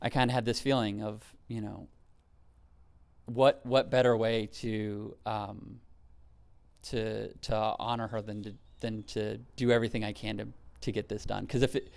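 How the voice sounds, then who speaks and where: An American man with a low voice full of creak speaks in an interview. He is halting and repeats words as he goes. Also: level tone, a little mellow. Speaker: volunteer costume builder, interviewee. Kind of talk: interview talk.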